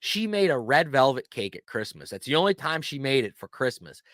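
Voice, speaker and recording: Man from Pennsylvania, host, lively swinging pitch, playful chat. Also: brisk, dry recording, studio quality. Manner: animated, playful questioning. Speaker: channel host. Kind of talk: casual chat.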